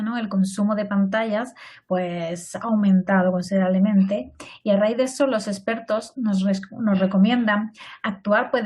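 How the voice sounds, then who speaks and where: A woman's voice: medium pitch, darkish tone. A woman hosting a podcast, talking conversationally and often checking in with tag questions. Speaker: podcast host. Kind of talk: podcast interview.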